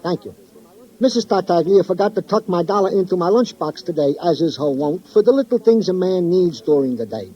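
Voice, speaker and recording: An actor playing an earnest, pompously wordy police sergeant with florid, over-formal phrasing in a 1950s radio drama. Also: male voice, sits high, animated, a little fast, husky. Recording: dull old radio recording.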